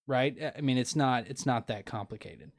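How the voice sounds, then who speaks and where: A man's voice: mid-range voice, normal speed. Male podcast host, casual interview, rambling, earnest, lots of restarts. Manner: rambling, many restarts and fillers; earnest, opinionated.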